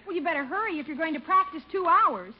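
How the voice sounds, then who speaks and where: A girlish teenage niece from a 1950s radio comedy. Her high voice is animated and prodding. The vintage recording is dull. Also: fast talker. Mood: chiding. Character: bright teenage niece, chiding her uncle.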